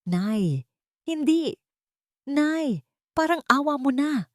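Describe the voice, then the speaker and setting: A woman's voice: high voice, animated, slow, no echo, studio quality, voice pushed up. A woman voicing a desperate grown son crying out to his mother in an audio story. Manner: shouted pleading.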